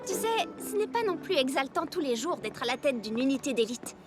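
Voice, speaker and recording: A woman's voice: high-pitched, sing-song, fairly quick. A woman voicing a confident, bossy young heroine in a children's cartoon, assertive and exclamatory. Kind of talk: animated children's cartoon dubbing. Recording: music behind the voice. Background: music.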